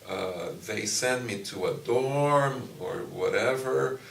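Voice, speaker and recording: A middle-aged man from Brazil whose first language is Portuguese, a university professor answering interview questions in a slow, thoughtful way with frequent pauses and restarts. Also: medium pitch, vocal fry, a bit bright, slight background noise. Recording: echoey room, distant mic, faint ambience.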